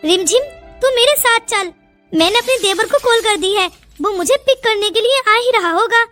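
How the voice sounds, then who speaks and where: A woman's voice: very high voice, moderate pace, sharp tone, faint music behind. A woman voicing a stern, protective elder cousin who scolds and commands in a cartoon family melodrama. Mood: indignant, bossy. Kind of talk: melodramatic cartoon story acting.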